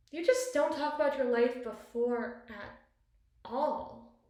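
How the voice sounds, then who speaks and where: A woman's voice: on the high side, close to an everyday voice. A woman playing a possessive, cunning evil queen in an ASMR roleplay, speaking in ornate, theatrical monologue to a spouse. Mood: wistful, probing. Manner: ornate, eloquent, dramatic phrasing. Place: small bathroom, indoors. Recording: very echoey tiled bathroom.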